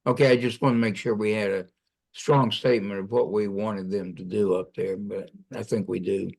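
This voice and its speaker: A man's voice: fairly low pitch, fairly even intonation, moderate pace, creaky, a little mellow. A man giving feedback in an informal work discussion on an online conference call. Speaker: meeting participant giving feedback.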